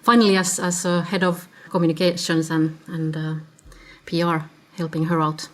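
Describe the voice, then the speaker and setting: A Finnish woman in her fifties with a Finnish accent, a podcast guest explaining her career in a measured way, stopping now and then to find the word. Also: lowish voice, little pitch movement. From Finland. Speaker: podcast guest, agency CEO. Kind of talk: conversational interview answers.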